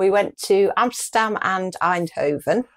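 A woman's voice: mid-range voice, lively intonation, a little slow. A woman in her fifties with a British accent, chatting casually as a co-host. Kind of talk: casual chat. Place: small furnished room, indoors.

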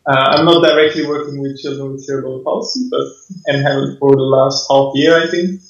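Flat-voiced Belgian physio on a roomy line. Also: male voice, mid-range voice. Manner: measured, explanatory, frequent fillers. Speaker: physiotherapist guest. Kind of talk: online course interview. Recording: roomy, dull lo-fi sound.

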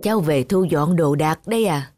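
A woman voicing a kind, wistful older male neighbour in a cartoon, gentle and resigned in manner. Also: low-pitched, moderate pace, over loud music, voice pushed down, lightly acted.

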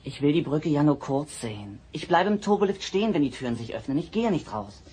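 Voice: medium pitch, very animated, a little fast, darkish tone, lightly acted. A young performer playing an eager, curious teenage boy in a science fiction radio drama, with an enthusiastic manner. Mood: eager, pleading. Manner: enthusiastic, pleading. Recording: noisy old cassette audio drama.

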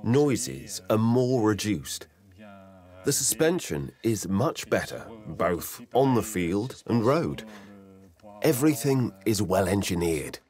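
Older French farmer, testimonial, measured delivery, deep voice. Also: male voice, sing-song, darkish tone. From France. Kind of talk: customer testimonial interview. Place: tractor showroom.

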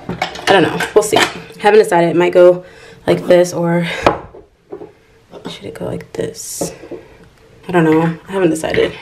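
A woman's voice: fairly low pitch, steady tone, unhurried, pauses often, darkish tone. Young American woman in an echoey bathroom. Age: young adult. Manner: informal, thinking aloud while she works. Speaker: lifestyle vlogger. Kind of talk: casual chatty vlog talk. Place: small tiled bathroom, indoors. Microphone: camera mic at arm's length or propped nearby. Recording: echoey bathroom, clear household clatter noise.

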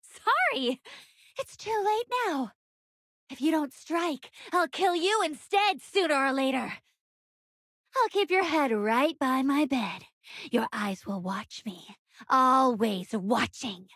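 A woman's voice: high-pitched, animated, slow, a bit bright, no room sound, clean studio sound, exaggerated voice. A woman voicing an unhinged, vengeful, sadistic pirate younger sister in a video game, with a taunting, manic, theatrical delivery. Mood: taunting menace.

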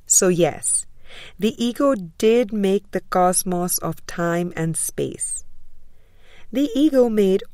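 A woman reading a spiritual book aloud in a measured, deliberate way, with frequent pauses between phrases. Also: mid-range voice, animated, dark tone, no room sound. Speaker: audiobook reader.

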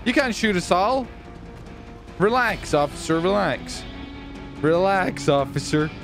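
A man with a fairly high, lively voice banters in game roleplay. He sounds cocky and taunting and likes to repeat himself. Faint music plays behind him. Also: takes it slowly. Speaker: streamer roleplaying as gang leader in a video game.

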